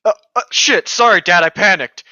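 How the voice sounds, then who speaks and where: A podcast co-host doing irreverent read-along banter with animated mock outbursts, heard through a dull lo-fi microphone. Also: mid-range voice, moderate pace, no room sound. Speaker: podcast co-host reading a novel aloud with commentary.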